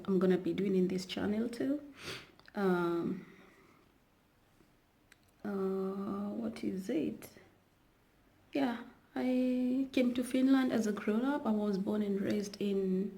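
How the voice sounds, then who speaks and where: Woman, from Kenya, casual vlogger, dark mellow voice, rambling chat. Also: mid-range voice, vocal fry. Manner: chatty, rambling with many fillers and restarts. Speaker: YouTuber. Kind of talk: casual vlog talk to camera.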